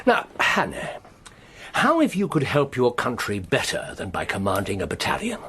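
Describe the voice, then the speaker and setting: A husky, shrewd older official. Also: male voice, medium pitch, huge pitch swings, sharp tone, exaggerated voice. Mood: persuasive. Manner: measured, persuasive. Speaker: senior official.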